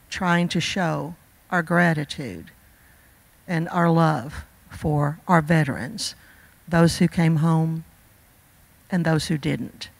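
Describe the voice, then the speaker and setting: Slow, earnest older woman at a podium. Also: lowish voice, gravelly, dry recording, rough recording. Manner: earnest, with many fillers and pauses. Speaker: teacher presenting awards. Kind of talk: heartfelt speech at a school ceremony. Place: school auditorium stage at a podium. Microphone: podium microphone.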